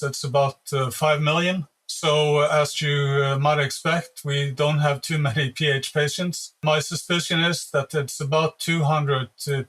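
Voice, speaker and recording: A Norwegian man in his late fifties, a native Norwegian speaker, talking in a measured, earnest podcast interview with many hesitant fillers. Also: on the high side, a bit bright. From Norway. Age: 50s. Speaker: interview guest, patient advocate.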